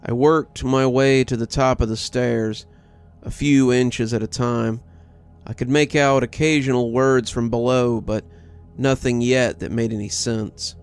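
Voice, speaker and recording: A man's voice: mid-range voice, steady tone, a little slow, clean tone. A man reading a scripted first-person horror story as its narrator. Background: music.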